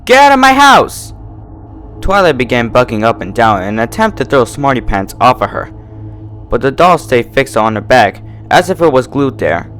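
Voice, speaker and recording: Animated male story narrator. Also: medium pitch, moderate pace, soft background music. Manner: animated, dramatic. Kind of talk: dramatic scripted story narration.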